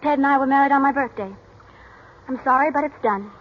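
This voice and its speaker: An actress playing a spoiled, scheming teenage daughter in 1940s radio drama style. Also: female voice, high voice, fairly quick, lightly acted. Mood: plaintive. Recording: dull, noisy 1940s radio recording.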